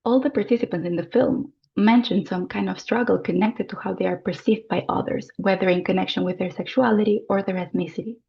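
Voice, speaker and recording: A woman presenting an academic paper in a formal, measured reading style. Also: medium pitch, steady tone, gravelly, muffled recording. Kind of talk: reading a prepared conference paper.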